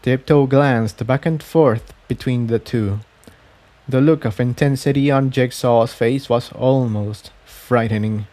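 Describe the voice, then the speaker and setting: A man's voice: mid-range voice, a little slow, dark tone. A man reading scripted fiction as an audiobook narrator.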